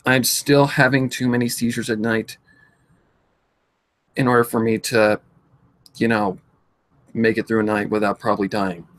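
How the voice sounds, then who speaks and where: A man of twenty-two speaking emotionally to his followers in a halting, repetitive, pleading way, distressed and close to tears, with slightly affected speech. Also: mid-range voice, level tone, fairly bright tone. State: distressed, tearful. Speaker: vlogger. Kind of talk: emotional confessional talk to followers.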